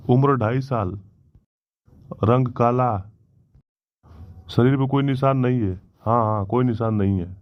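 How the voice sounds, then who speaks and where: A man playing a self-assured, officious village police inspector in a comedy cartoon, with a brisk, questioning manner. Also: mid-range voice, dry recording, natural voice. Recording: dull, dry lo-fi audio.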